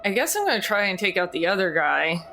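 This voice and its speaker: A woman's voice: mid-range voice, sing-song, quick pace, sharp tone, faint music behind. Tentative woman playing a tabletop game. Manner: tentative, thinking aloud. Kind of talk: casual game chat.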